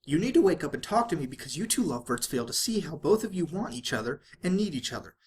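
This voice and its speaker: A narrator voicing a hyperactive, bubbly and bossy young female cartoon pony in an excitable, playful way. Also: medium pitch, fast, pitched above the performer's own voice, lightly acted. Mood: determined.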